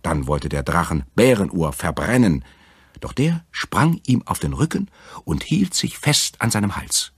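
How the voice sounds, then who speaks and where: Male fairy-tale narrator, extremely low and husky, recorded very dry. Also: very animated, unhurried, no room sound. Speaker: storyteller.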